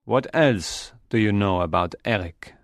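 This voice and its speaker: A man reads as an earnest young storybook hero, anxious and puzzled. The voice is fairly low and questioning, recorded close and dry. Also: a little slow, no room sound. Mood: probing.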